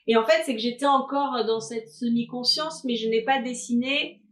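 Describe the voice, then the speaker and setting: Chatty woman with a high, echoey voice. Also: steady tone, moderate pace. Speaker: artist and live-stream host. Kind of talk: casual chat on a live stream.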